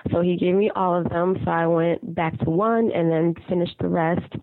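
A woman leaving a casual, rambling fan voicemail, hesitant with many ums, raspy from a cold. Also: lowish voice, steady tone, no room sound. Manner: hesitant, frequent ums and pauses. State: raspy from getting over a cold. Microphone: phone. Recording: muffled phone voicemail, narrow band.

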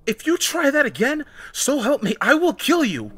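A man voicing a fierce, bossy young woman who argues and shouts in a dramatic story. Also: mid-range voice, fairly even intonation, fairly quick, breathy edge, voice pushed up. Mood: furious. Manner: shouting, growling, argumentative.

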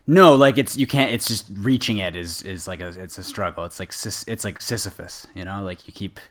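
A man co-hosting a podcast, chatting casually and jokingly in a halting, rambling, informal way. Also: lowish voice. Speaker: podcast co-host.

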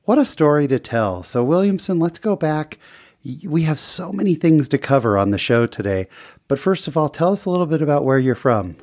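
A man's voice: a little high, expressive, brisk, darkish tone, dry recording, studio quality. Enthusiastic man hosting a podcast. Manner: upbeat, enthusiastic, with frequent uh fillers. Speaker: podcast host. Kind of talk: friendly podcast interview. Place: small podcast studio.

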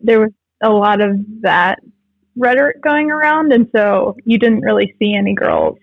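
Young North American woman, creaky casual talker. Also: medium pitch, expressive, moderate pace, no echo, phone-quality sound. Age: late 20s. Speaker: podcast guest, jiu jitsu writer. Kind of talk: casual conversational interview.

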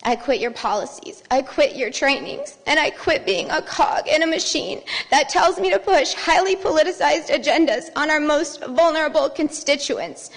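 Female teacher, American, fairly high voice, firm and indignant public statement in an echoing meeting hall. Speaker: school teacher. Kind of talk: prepared public speech.

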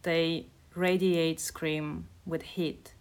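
A woman poet and translator from Kazakhstan, speaking in a measured, hesitant way as she talks and reads. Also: sits low, little pitch movement, clean tone. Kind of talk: casual conversation and poetry reading.

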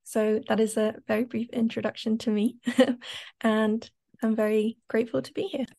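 Young woman, gravelly fry, flat even delivery, activist speaker, reflective, hesitant. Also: a little high, normal speed. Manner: reflective, many fillers and restarts. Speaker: climate activist and author. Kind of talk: panel talk.

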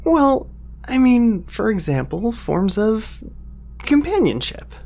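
A man's voice: high voice, sing-song, takes it slowly, vocal fry, mellow, soft background music, exaggerated voice. A flustered young male office assistant acted by a man in an audio drama. His voice swings up and down as he hesitates politely and keeps sounding apologetic.

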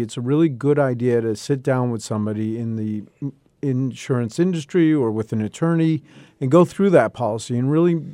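A man who grew up around Boston, a real estate attorney, calmly explaining insurance claims as a guest on a radio talk show. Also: mid-range voice, dry recording, clean studio sound. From Boston area, United States. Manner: measured, explanatory.

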